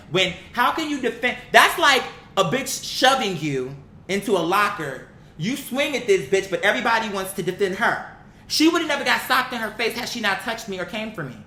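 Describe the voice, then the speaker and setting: A woman's voice: medium pitch, sharp tone. A woman giving a casual, opinionated commentary rant, blunt, profane and indignant, reading comments aloud and repeating phrases for emphasis. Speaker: online commentator reacting to viewer comments. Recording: echoey, roomy sound.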